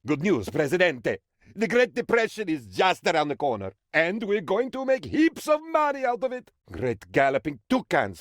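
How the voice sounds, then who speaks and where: An actor playing an excitable, sycophantic political aide to an island dictator in a comic video game, with a theatrical, exaggerated delivery. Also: male voice, a little high, no echo, studio quality. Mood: gleeful, excitable. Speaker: adviser character briefing the player.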